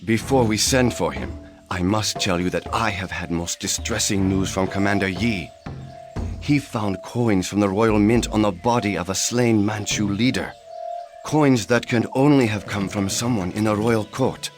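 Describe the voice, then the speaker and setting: An actor playing a loyal senior minister of an old Korean court in a game cutscene, speaking formally and with measured delivery. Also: male voice, fairly low pitch, natural voice. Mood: grave, earnest. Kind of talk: scripted historical game cutscene acting. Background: orchestral game music.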